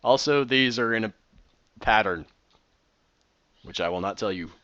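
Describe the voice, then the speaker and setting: A man in his thirties, excitable and rambling, chatting casually while playing a building game. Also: lowish voice, unhurried, muffled recording. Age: 30s. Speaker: Minecraft builder and streamer. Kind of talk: casual commentary while gaming.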